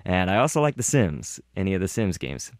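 A man's voice: low-pitched, big pitch swings, brisk, no room sound, studio quality. A man in his twenties answering casually and a little self-deprecatingly about games he likes. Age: 20s. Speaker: fan voice actor.

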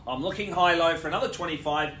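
Showy New Zealand auctioneer calling bids. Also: male voice, fairly high pitch, fast, sharp tone, a little hiss or hum. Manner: showy, joking patter with bid chants. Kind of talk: live property auction calling. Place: large indoor auction room. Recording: roomy sound from a distant camera.

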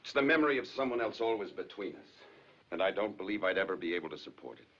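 An actor playing a troubled, hurt married father in a 1950s film drama, speaking in a halting, polite, restrained way. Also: deep voice, lively intonation, fairly bright tone, exaggerated voice. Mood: pained. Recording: dull, lo-fi 1950s film soundtrack.

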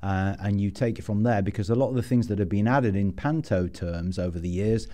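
A man's voice: lowish voice, fairly quick, dark tone. A man hosting a podcast, interviewing guests in a conversational style.

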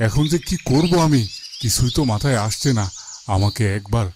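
A man's voice: mid-range voice, expressive, normal speed, a little mellow, natural voice. A man voicing an anxious, grieving father in a fairytale cartoon, fretful, suspicious and full of questions. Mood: anxious, brooding. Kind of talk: dramatic fairytale cartoon monologue. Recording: noisy track with chirping birds behind.

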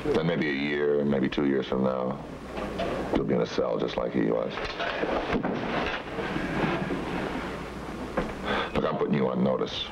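Very deep, gravelly police detective. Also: male voice, steady tone, fairly quick. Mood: cool warning. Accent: American. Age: middle-aged. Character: tough, dry, persistent middle-aged police detective. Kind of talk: 1950s TV crime drama acting. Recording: noisy muffled 1950s TV film soundtrack.

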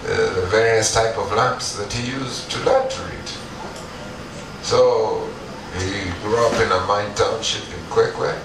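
Middle-aged guy, kinda low voice, earnest talk on stage, echoey room w noise. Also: gravelly, recorded from a distance. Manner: earnest, emphatic, pauses and restarts. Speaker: entrepreneur guest speaker. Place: event stage with audience. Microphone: headset mic.

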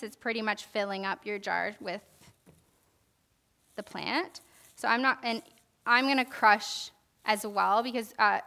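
A woman's voice: on the high side, pushed and bright, smooth. A young woman giving an informal herbalism lesson in a church hall, reading from notes and then demonstrating, with many fillers and restarts. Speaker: herbalism class presenter. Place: church hall stage, large indoor room.

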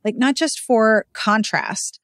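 A woman's voice: fairly high pitch, slow, dry recording, clean studio sound. A woman hosting a podcast, chatting casually and expressively in an interview. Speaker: podcast host.

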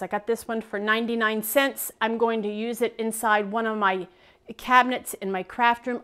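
A woman's voice: a little high, fairly bright tone. A middle-aged woman with an American accent chatting casually to the camera as a vlogger, with playful asides. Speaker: vlogger showing thrift finds.

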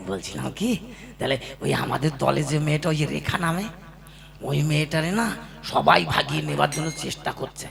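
A man's voice: a little high, fairly quick, vocal fry, a bit bright. A man playing an ageing, scheming troupe-master husband in a comic puppet play, coaxing with exaggerated sweet talk. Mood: pleading.

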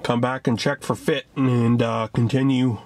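A man's voice: medium pitch, flat delivery, measured pace, darkish tone. A man hosting a casual hands-on how-to vlog, explaining in short plain steps into a handheld camera. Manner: plainspoken, short step-by-step phrases. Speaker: DIY vlog host. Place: outdoors in a yard and in a parked vehicle. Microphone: handheld camera at arm's length. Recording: clear ambient noise from handheld camera.

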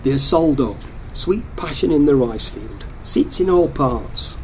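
A man's voice: mid-range voice, expressive, measured pace, a little mellow, a little hiss or hum, natural voice, rough recording. An actor playing a hesitant, lonely man muttering and deliberating to himself in a radio play.

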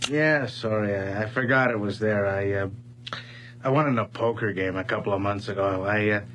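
A man's voice: on the low side, moderate pace, a bit bright, natural voice. An actor playing a casual, wisecracking friend who placates a troubled man in a 1980s radio horror drama. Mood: joking, casual. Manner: casual, reassuring, sometimes exasperated. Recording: noisy, humming old radio broadcast recording.

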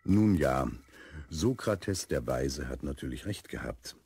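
An actor playing a fatherly narrator in a children's radio play, telling the story in a deep, husky voice. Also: expressive, takes it slowly, darkish tone, full character voice. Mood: storytelling.

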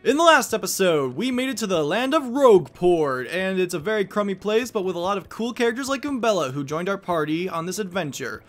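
Male gaming host, upbeat and enthusiastic, very lively intonation for gameplay commentary. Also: high voice, fairly quick, hardly any pauses, soft background music. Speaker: let's play host.

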